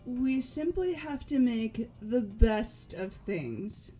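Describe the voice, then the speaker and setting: Woman acting a slow, poised young lady. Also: fairly high pitch, expressive, dark tone, faint music behind. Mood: cool, composed. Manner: formal, measured, deliberate. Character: poised, coolly resigned young woman, elegant and formal. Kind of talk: fan-voiced visual novel acting.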